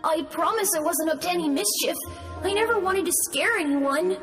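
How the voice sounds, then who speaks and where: An actress voicing a timid, gentle little boy ghost in a video game, hesitant and apologetic. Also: very high-pitched, a little fast. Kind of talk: video game character dialogue. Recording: music playing behind the voice. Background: game music.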